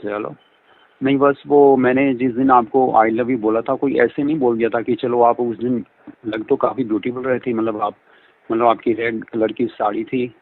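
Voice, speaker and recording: A man speaking effusively and repetitively in a flirtatious, talkative conversation over a phone line. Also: medium pitch. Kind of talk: flirtatious phone conversation in Hindi mixed with English. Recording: band-limited phone call audio.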